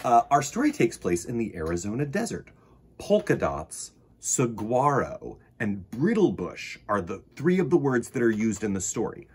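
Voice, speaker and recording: A man's voice: medium pitch, big pitch swings, moderate pace, a little mellow, breathy edge. A man hosting a children's storytime, talking straight to young viewers with enthusiastic, emphatic delivery and chatty asides. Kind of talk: animated picture-book reading with chatty asides.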